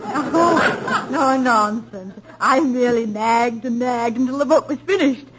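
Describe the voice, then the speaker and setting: A woman's voice: fairly high pitch, unhurried, background noise, close to an everyday voice. A woman playing a poised, teasing college wife. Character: gracious, sly wife of a college president. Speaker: actress in a radio drama. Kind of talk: 1940s radio acting. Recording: muffled old radio broadcast.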